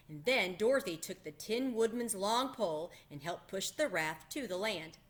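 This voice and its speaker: Bright-voiced woman reading a storybook aloud. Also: medium pitch. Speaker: read-aloud host. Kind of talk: children's storybook read-aloud narration.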